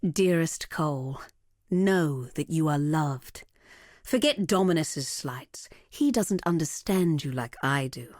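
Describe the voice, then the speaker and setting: A woman playing a cold, imperious villainess and ruthless researcher in a video game, with a haughty, commanding and deliberate delivery. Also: low voice, a little mellow, dry recording, studio quality. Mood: seductive, intimate. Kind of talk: scripted video game voice acting.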